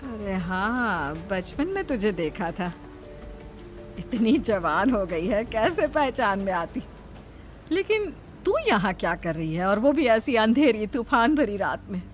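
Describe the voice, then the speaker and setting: A woman's voice: medium pitch, sing-song, slow pace, soft background music. A woman voicing a concerned, easily startled aunt in her sixties for a horror cartoon, anxious and motherly as she questions. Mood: puzzled, concerned. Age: 60s.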